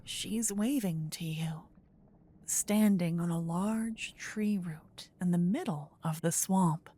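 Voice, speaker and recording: A woman softly narrating a scripted fantasy ASMR story, breathy and often close to a whisper on a binaural mic. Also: on the low side, takes it slowly, a little hiss or hum. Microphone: close binaural microphone.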